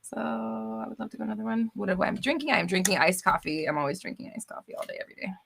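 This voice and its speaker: A woman's voice: medium pitch, lively intonation, quick pace, gravelly, fairly bright tone. A woman hosting a casual live stream, reading viewer comments aloud with a bubbly, laughing manner. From United States. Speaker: livestream host.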